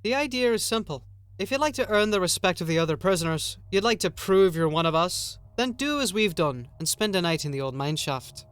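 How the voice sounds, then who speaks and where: A man voicing a long-serving prison inmate in an audiobook, matter-of-fact and unhurried, with a coolly menacing temper. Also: high-pitched, animated, fairly bright tone, clean tone, no room sound.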